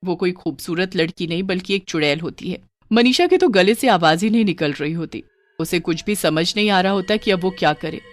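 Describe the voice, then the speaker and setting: A woman's voice: fairly low pitch, animated, a little fast, no room sound. A woman storyteller reading a scripted horror story in a dramatic narrating style.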